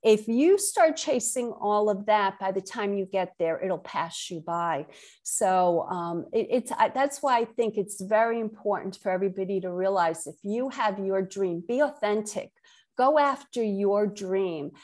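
A woman's voice: mid-range voice, unhurried, darkish tone. A middle-aged woman with a Brooklyn accent, speaking conversationally as an author and guest in an interview. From Brooklyn, New York.

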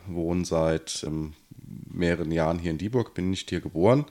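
Very deep-voiced man in his forties. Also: darkish tone. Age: 40s. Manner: measured, hesitant with fillers. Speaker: city council member, guest. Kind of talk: podcast interview.

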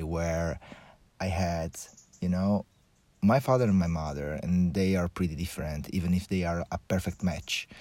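A man from Italy with an Italian accent, hosting a podcast as a personal monologue in reflective, halting phrases with repeated restarts. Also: low-pitched, mellow, dry recording. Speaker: podcast host.

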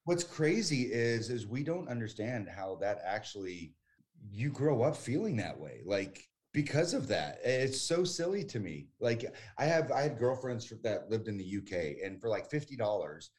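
A man's voice: medium pitch, sing-song, moderate pace, darkish tone. A man in his forties from the United States, talking as a guest in a casual conversation, chatty and emphatic with frequent restarts. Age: 40s.

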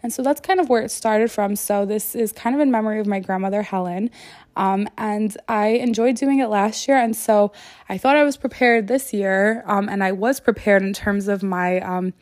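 A woman's voice: mid-range voice, moderate pace, a little mellow, no room sound, studio quality. A woman hosting a podcast solo in a casual, earnest, conversational way, peppered with "like" and "you know", her voice not quite back to normal after being sick. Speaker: podcast host.

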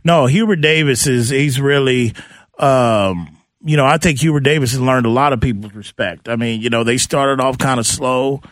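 A man's voice: medium pitch, moderate pace, fairly bright tone, no room sound. A man hosting a sports radio show in an informal, conversational way. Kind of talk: casual sports talk.

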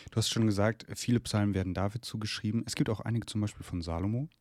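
Deep man with a cold, dry sound, steady podcast co-host guiding the talk. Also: fast talker, no room sound. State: has a cold. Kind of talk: casual theological podcast chat. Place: room in his parents' home.

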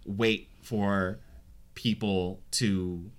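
A man chatting casually in a group discussion, rambling and repeating himself, and turning animated when he jokes. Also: lowish voice, extremely slow.

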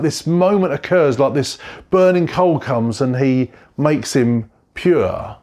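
A pastor, telling his story in a reflective, halting way and often correcting himself. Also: on the high side, a little mellow. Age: 40s. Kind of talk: personal testimony sermon.

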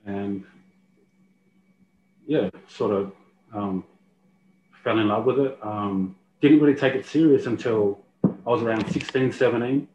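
A man from Australia with an Australian accent, speaking softly and hesitantly in a casual interview, with many ums. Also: on the low side, measured pace, long pauses. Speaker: rugby league player, guest. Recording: roomy, muffled, faint hiss.

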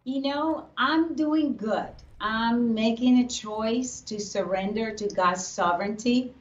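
A woman from Puerto Rico, a pastor's wife, talking earnestly about her faith as a guest in a casual interview. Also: fairly high pitch. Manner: earnest, faith-filled, speaks slowly with restarts. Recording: echoey, distant, dull remote audio.

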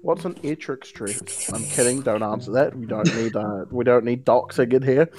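A man's voice: mid-range voice, normal speed. Laughing young man in rambling chat. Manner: rambling, with lots of laughter and fillers. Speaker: podcast co-host. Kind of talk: casual joking chat.

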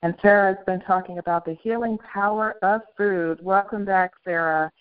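A woman's voice: mid-range voice, steady tone, normal speed, clean tone, narrow, tinny audio, faint music behind. A woman hosting a talk show, reacting effusively with frequent exclamations of amazement. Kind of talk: interview hosting.

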